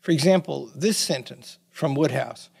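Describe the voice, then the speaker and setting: Raspy gravelly man hosting an interview. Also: mid-range voice, moderate pace, darkish tone. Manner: friendly, conversational, hesitant ums. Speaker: interview host. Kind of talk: interview conversation.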